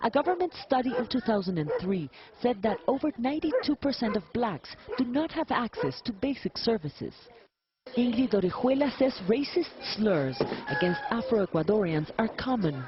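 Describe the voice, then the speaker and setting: A woman narrating a scripted news report in a steady, formal way. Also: fairly high pitch, normal speed, dull audio.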